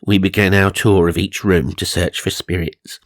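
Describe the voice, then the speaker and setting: A man's voice: deep voice, flat delivery, moderate pace, no echo, clean studio sound. A man narrating supernatural magazine stories for comedy, mock-serious and dramatic, with wry asides. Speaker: narrator and host.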